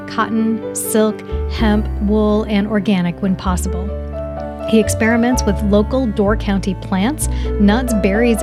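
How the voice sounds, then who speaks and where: Woman host, mid-pitched, effusive interviewer, faint music underneath. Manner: warm, effusive praise. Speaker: podcast host. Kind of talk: reading a guest bio, then interviewing.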